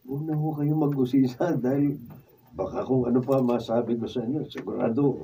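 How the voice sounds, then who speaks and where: A man's voice: medium pitch, a little fast, mellow, a little hiss or hum, close to an everyday voice. A man playing a reassuring but evasive doctor nephew in a Filipino radio drama, with a Filipino accent. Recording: distant mic.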